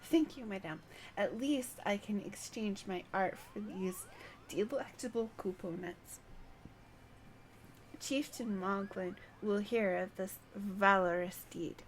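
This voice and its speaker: A woman's voice: mid-range voice, takes it slowly, close to an everyday voice. A woman reading aloud the game dialogue of a proud, genteel moogle artist, formal and self-satisfied. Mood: proud, pleased.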